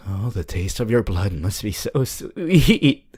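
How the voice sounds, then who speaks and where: A woman voicing an obsessive, hungry and playful yandere vampire in an ASMR roleplay, drawn out, theatrical and breathy. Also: sing-song, a little mellow, full character voice. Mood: hungry, craving. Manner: theatrical, drawn-out, swinging between breathy whispers and high squeals.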